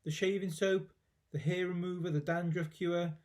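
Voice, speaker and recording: Male storyteller, mellow and fairly high-voiced, expressive children's narration with dramatic emphasis. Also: moderate pace. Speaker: teacher reading aloud.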